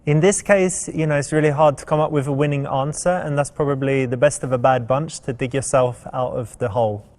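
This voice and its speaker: A man's voice: medium pitch, steady tone, fairly quick, a little mellow, lightly acted. A man playing a friendly single guy on a date, talking in an informal, chatty way. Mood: casual, advising. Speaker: dating game presenter and lead actor. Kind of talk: casual conversational acted scenes and advice. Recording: music behind the voice.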